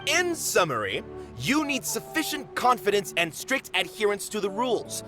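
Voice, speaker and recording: Dutiful theater manager, formal, earnest, lively intonation, game dialogue, background music. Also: male voice, high-pitched, normal speed. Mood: resolute, earnest. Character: dutiful, proud theater manager, protective of his troupe. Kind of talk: video game voice acting.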